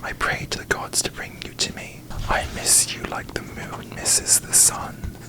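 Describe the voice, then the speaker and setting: A man voicing a haughty, bitter young fantasy queen in a breathy ASMR whisper, with pompous, formal diction, as parody. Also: measured pace, close to an everyday voice, low-quality recording. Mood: yearning.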